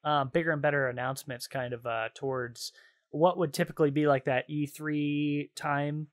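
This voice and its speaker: A man's voice: a little high, steady tone, normal speed. A man hosting a podcast, chatting casually about video games in an informal, slangy, easily amused way. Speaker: podcast host.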